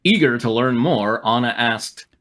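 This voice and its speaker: A man with a lively, swooping delivery reads amateur fiction aloud. He narrates dramatically and drops in wry, amused comments in a mid-pitched voice. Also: measured pace. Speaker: reader and host. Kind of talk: dramatic fan fiction reading with wry asides.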